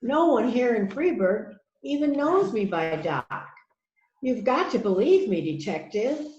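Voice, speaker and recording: A woman playing an earnest wife under police questioning in an amateur mystery reading, with expressive delivery. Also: fairly high pitch, a little slow. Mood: pleading. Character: earnest wife pleading innocence to a detective. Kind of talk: amateur readers theater mystery. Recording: echoey, muffled online call.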